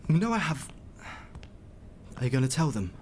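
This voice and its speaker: Actor playing shifty brother, lively pitch, pleading, self-justifying, radio soap, slight background noise. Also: male voice, normal speed, close to an everyday voice. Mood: anxious, wheedling. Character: pleading, evasive, wheedling scrounger of a sibling. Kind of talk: naturalistic radio soap acting.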